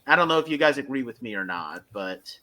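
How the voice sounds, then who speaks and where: A man hosting a casual fan discussion, speaking in halting stretches with emphatic bursts. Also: medium pitch, animated, pushed and bright. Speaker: podcast host reviewing a fan audio drama.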